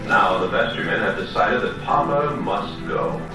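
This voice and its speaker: A man's voice: on the low side, normal speed. A man narrating an old-time soap opera as its announcer, solemn and theatrical in delivery. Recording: very echoey old broadcast, loud music, distant.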